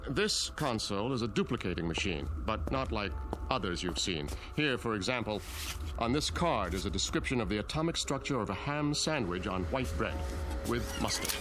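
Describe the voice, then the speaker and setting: An actor in a radio drama playing a courteous, self-assured leader of a secretive utopian town, speaking in a measured, explanatory, genteel way. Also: male voice, fairly low pitch, natural voice. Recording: music under radio drama dialogue.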